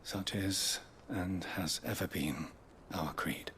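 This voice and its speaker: A solemn, devout knight from a fantasy game, with a very low voice. He speaks with stately deference in archaic, formal phrases, sometimes hushed. There is faint noise behind him. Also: slow. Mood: solemn, deferential. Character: solemn devout male knight and chronicler of a secret order.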